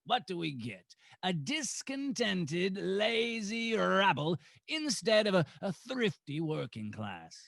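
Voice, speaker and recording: Casting: man as contemptuous old miser banker for vintage radio drama, heavy creak, animated pitch swings. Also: takes it slowly, no room sound, studio quality, heavily acted. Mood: scornful. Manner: contemptuous, domineering. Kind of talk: 1940s radio acting.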